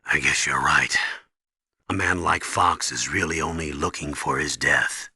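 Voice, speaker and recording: Intense, raspy, creaky-voiced comrade. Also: male voice, extremely low pitch, a little slow, bright, forceful tone, no room sound, clean studio sound, full character voice. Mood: resigned. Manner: dramatic, urgent. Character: intense, earnest man urging on a soldier comrade. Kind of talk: video game voice acting.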